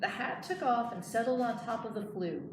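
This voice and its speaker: A woman librarian reading a picture book aloud in an expressive storytelling style. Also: fairly high pitch, normal speed. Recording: echoey room, clear background noise.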